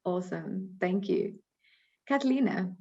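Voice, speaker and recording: A woman's voice: mid-range voice, animated, measured pace, dark tone, slightly rough. A South African woman hosting a panel webinar as a recruiting coordinator, friendly, with frequent ums and uhs. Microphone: video call. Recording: slightly dull video call audio.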